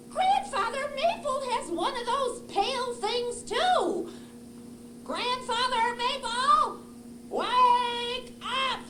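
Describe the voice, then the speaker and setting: A woman voicing an excitable, curious squirrel puppet in a children's show, exclaiming and asking questions. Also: very high-pitched, full character voice. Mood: eager, excited. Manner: exclaiming, questioning, drawn-out delivery. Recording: roomy, echoing, noisy live puppet show.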